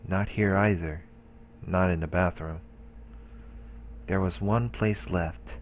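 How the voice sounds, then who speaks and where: A let's play host reading a visual novel's narration aloud, with casual asides. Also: male voice, sits low, fairly even intonation, slow, dull audio, a little hiss or hum.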